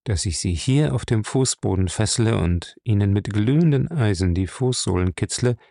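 A man voicing a grim, brutal captain, curt, gruff and threatening, in an audiobook. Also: lowish voice, expressive, normal speed, mellow, no echo, clean studio sound. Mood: menacing. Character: grim, brutal captain, a menacing male interrogator. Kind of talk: audiobook character acting.

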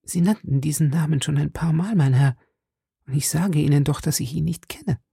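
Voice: medium pitch, normal speed, gravelly, warm tone, husky, no echo, clean studio sound, pitched above the performer's own voice. A narrator voicing an earnest, anxious young woman with secrets, speaking formally and pleadingly in an audiobook. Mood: firm, exasperated. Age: young adult. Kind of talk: audiobook character dialogue.

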